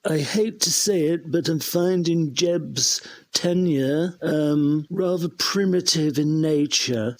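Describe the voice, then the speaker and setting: A pompous music connoisseur acted by a man with a slightly high voice and very slow, deliberate phrasing. He is fussy and eccentric, a radio comedy caller savoring each refined judgment.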